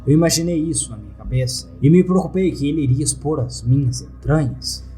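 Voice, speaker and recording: A man's voice: on the high side, fairly quick, dark tone, soft background music. A man with a Brazilian accent reading a scripted first-person horror story as narrator.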